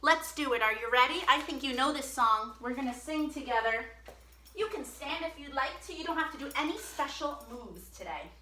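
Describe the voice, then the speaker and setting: A woman hosting a children's storytime, chatty and animated, speaking directly to young children. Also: high-pitched, normal speed.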